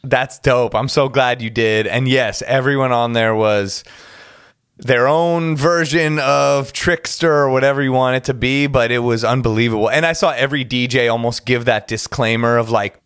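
A man hosting a podcast, interviewing his guest with excited, hyped-up energy. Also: medium pitch, normal speed, clear, resonant tone, no echo, clean studio sound. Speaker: podcast host.

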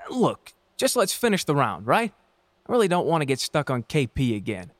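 Boyish private, male actor: eager, by-the-book, higher-pitched, very animated, dry close sound. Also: moderate pace, no echo. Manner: excitable, folksy slang. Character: eager, rule-abiding young male soldier, boyish. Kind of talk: period audio drama acting.

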